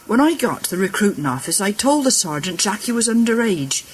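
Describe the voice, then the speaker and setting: A middle-aged woman playing a fretful, tender village mother of a soldier in a radio play, with a husky voice. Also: mid-range voice, big pitch swings, a little fast, a little hiss or hum, lightly acted, poor audio. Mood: sorrowful.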